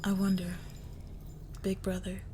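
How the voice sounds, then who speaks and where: Woman acting as slow, hushed, menacing mafia daughter. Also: mid-range voice, completely flat delivery, slight background noise, close to an everyday voice. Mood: menacing, taunting. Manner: hushed, slow, deliberate, breathy near-whisper. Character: cold, menacing young crime boss's daughter confessing murder. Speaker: audio roleplay voice actor. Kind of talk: intimate scripted audio roleplay, dramatic monologue.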